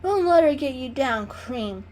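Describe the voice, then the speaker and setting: A woman's voice: high-pitched, lively intonation, a little slow, slight background noise, pitched above the performer's own voice. A woman voicing a proud young male kitten in a storybook reading, kindly, loyal and encouraging. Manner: encouraging, warm-hearted.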